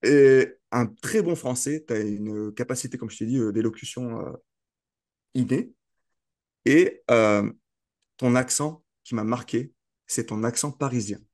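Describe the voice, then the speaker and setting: A man's voice: medium pitch, a little slow, a little mellow. A man hosting a casual interview, often restarting and repeating words mid-sentence. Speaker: host.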